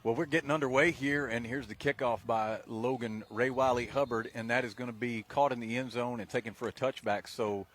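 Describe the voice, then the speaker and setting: A man with a low voice, giving measured, folksy color commentary on a local radio football broadcast. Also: fairly even intonation.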